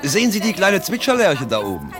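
An actor playing a cocky, leering, boastful bar patron with a swaggering manner in a radio play. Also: male voice, high-pitched, huge pitch swings, a little fast. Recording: loud music behind.

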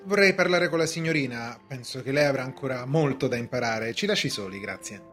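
A man's voice: medium pitch, animated, a little fast, a bit bright, close to an everyday voice. An actor in a radio drama playing a polite, self-assured but puzzled accused man with a hesitant, questioning manner. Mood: insistent, uneasy. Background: music.

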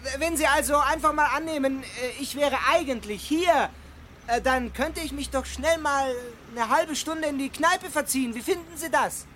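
A man's voice: very high-pitched, moderate pace, sharp tone, slight background noise. An actor playing a stubborn, put-upon homeowner, a man with dry sarcasm in a German radio comedy. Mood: politely cajoling.

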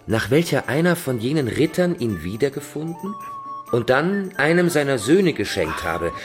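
A man's voice: mid-range voice, very animated, moderate pace, soft background music. An actor playing an eager young Frenchman versed in old legends, in a radio drama. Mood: earnest, insistent. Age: young adult.

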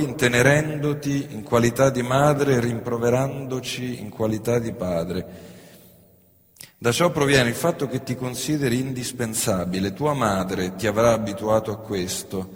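An actor on stage playing a grave, guilt-ridden father, declaiming slowly and weightily. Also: medium pitch, close to an everyday voice. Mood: accusing. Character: grave middle-aged man, a guilt-ridden father confessing. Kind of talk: theatrical monologue. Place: theater stage. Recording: long reverb of a theater hall.

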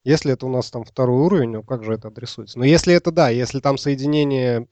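Mellow man thinking aloud. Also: mid-range voice, fast, dry recording, lo-fi sound. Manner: tentative, repeats words, thinking aloud. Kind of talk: casual technical discussion.

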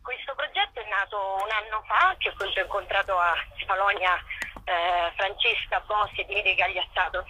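A woman's voice: medium pitch, pushed and bright, breathy edge. A woman, an actress casually telling about her work in a radio phone interview. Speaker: theatre author and actress, guest. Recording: tinny telephone line.

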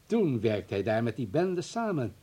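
Husky, insinuating party informer. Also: male voice, mid-range voice, sing-song, dark tone. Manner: measured, insinuating, polite. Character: insinuating, officious male party informer, coldly polite. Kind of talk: radio play acting.

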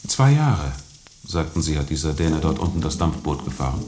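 A man's voice: very deep voice, very animated, moderate pace, a little mellow, faint music behind. An actor playing a formal, inquisitive man who questions a captain with precise, probing words in a radio drama.

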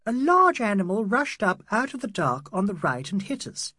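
Guy putting on young english lady for an audiobook, high voice, brisk, very sure of herself. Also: sing-song, pushed and bright, husky, no room sound, clean studio sound, exaggerated voice. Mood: insistent. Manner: brisk, assertive. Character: young Englishwoman, spirited, matter-of-fact and certain.

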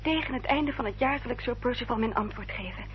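An actress playing an anxious but resolute young heiress in a radio drama, speaking formally, hesitant yet firm. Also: female voice, on the high side, quick pace, natural voice. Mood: quietly firm. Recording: dull old radio recording, hum and noise.

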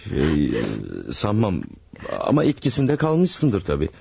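A husky, smooth-talking killer husband. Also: male voice, fairly low pitch, wildly swooping pitch, a little slow, darkish tone, heavily acted. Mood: soothing. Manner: soothing, reassuring, smooth-talking. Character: scheming farmer husband, secretly a killer. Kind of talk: classic radio drama acting.

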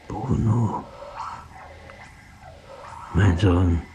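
A man's voice: low-pitched, drawn-out words, muffled recording, noisy background. An actor playing a bitter old father on his deathbed, reproaching his son in a radio drama. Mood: weak, solemn. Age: older.

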